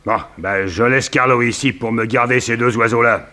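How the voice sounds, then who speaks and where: A man playing a menacing, excitable gang boss in a 1960s French radio crime serial, bossy and theatrically exasperated. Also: medium pitch, moderate pace, natural voice. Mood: threatening, bargaining.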